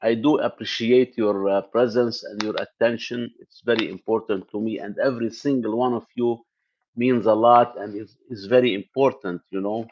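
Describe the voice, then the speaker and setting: A man's voice: on the low side, fairly even intonation, moderate pace, a little mellow. A man from Lebanon, a native Arabic speaker, hosting a tech channel live stream, chatting casually to viewers with many fillers and restarts. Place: home in the US Midwest. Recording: dull lo-fi live stream audio.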